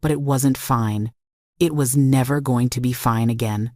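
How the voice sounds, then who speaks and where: A woman's voice: rumbling low, a little slow, dark tone, dry recording, clean studio sound. A woman reading a scripted first-person dramatic story in a confessional, emphatic way. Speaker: story narrator.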